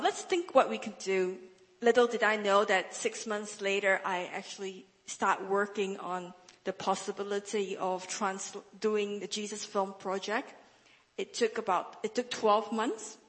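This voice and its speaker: A woman's voice: mid-range voice, unhurried, fairly bright tone. Earnest woman in a reverberant hall. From northern Malaysia. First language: a Chinese dialect. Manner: earnest, frequent restarts and repeated words. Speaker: guest speaker, Bible translator. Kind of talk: testimony speech in church.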